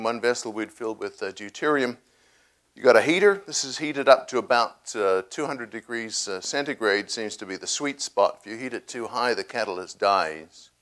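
A man giving a public science talk, explaining his experiments with many pauses, fillers and restarts. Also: medium pitch, fairly bright tone. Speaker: research scientist presenting a talk. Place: cafe or hall at a public science event.

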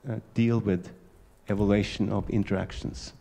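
A low, mellow male voice answers from the stage of a large lecture hall. He reflects unhurriedly, and a long hall reverb trails his words. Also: little pitch movement, moderate pace, vocal fry. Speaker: keynote speaker. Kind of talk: answering questions after a talk. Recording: echoey hall.

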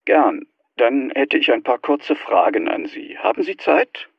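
A man's voice: mid-range voice, big pitch swings, measured pace, dry recording, muffled recording, heavily acted. A man voicing a polite language school receptionist in a scripted German listening exercise. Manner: polite, clear phrasing. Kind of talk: scripted dialogue for German learners.

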